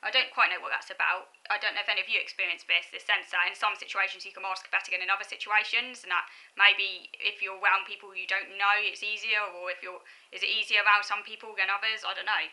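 A woman's voice: medium pitch, flat delivery, quick pace, hardly any pauses, bright, forceful tone, rough recording. A thirty-year-old woman with a southern English accent and a youthful-sounding voice, talking casually to camera in a hesitant, reflective, wandering way. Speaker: vlogger. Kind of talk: casual personal talk to camera. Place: small furnished room at home, indoors.

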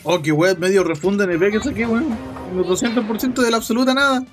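A young Chilean man with a high voice chats loosely through a gameplay stream, reading viewers' messages aloud and joking about them. His tone stays casual and playful, and faint game music runs quietly behind him. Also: fast. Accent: Chilean Spanish.

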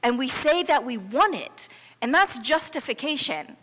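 A woman's voice: high voice, expressive, moderate pace, pushed and bright, slight background noise. A middle-aged American woman pastor preaching a sermon in an emphatic, earnest, conversational storytelling manner. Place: church sanctuary, preaching before a congregation. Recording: reverberant church hall.

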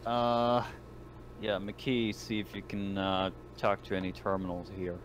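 Man, very low pitch, casual tabletop player, noisy voice chat. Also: steady tone, measured pace. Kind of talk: casual game talk. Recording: clearly noisy voice-chat recording.

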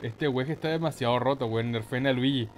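A man's voice: mid-range voice, brisk, a little hiss or hum. A man giving casual, slangy, mock-boastful gaming commentary with some swearing. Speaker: gameplay host.

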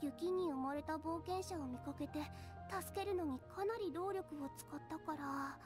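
A woman voicing a shy, earnest young female game alchemist, soft and girlish, stammering and precise in her wording. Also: high voice, moderate pace, lightly acted. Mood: earnest, fascinated. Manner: hesitant stammering, formal and precise. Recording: game music behind the voice. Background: game music.

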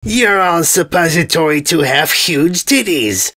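A man's voice: on the high side, expressive, unhurried, voice pushed up, full character voice. Man putting on a brash, dim armored invader, bellowing. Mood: brash, demanding. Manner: shouting, bombastic, mangles words.

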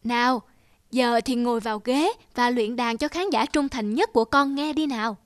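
A woman voicing a gentle, encouraging mother in an animated tale, speaking with patient, soothing manner. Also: fairly high pitch, normal speed, dry recording, studio quality, lightly acted. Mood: gentle, reassuring. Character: gentle, encouraging mother of a young pianist daughter. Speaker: mother character. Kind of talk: animated fairy-tale dubbing.

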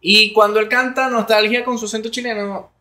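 Bright, high Venezuelan man. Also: flat delivery, a little fast. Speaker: podcast co-host. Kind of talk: casual podcast chat. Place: small room with blue curtain. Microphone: studio mic on boom arm.

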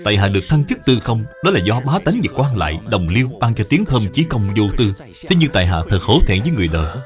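A man's voice: fairly low pitch, sing-song, quick pace. Man voicing an upright, remorseful official, music behind. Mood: solemn, self-reproaching. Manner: earnest, formal, self-reproaching. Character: upright, remorseful younger brother, a principled imperial official.

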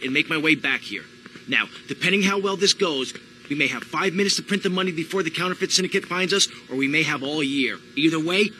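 An actor playing a hot-tempered, commanding young crime boss in a video game, with a curt, tough manner. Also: male voice, fairly high pitch, sharp tone, slight background noise, low-quality recording. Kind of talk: video game cutscene acting.

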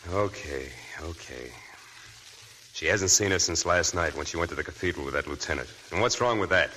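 An actor playing a nervous, hesitant reporter held at gunpoint, in 1940s radio acting. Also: male voice, sits low, animated, a little fast, bright, forceful tone. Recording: old noisy radio broadcast.

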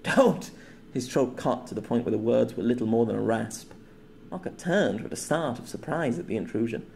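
A man reading an audiobook story in a measured storytelling manner. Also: medium pitch, vocal fry, a little mellow, slight background noise. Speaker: audiobook narrator. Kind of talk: scripted narration.

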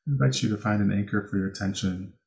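An American man with a fairly low voice teaches a guided meditation. He gives gentle instructions without hurry, leaving pauses between phrases. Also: vocal fry. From Chicago, United States.

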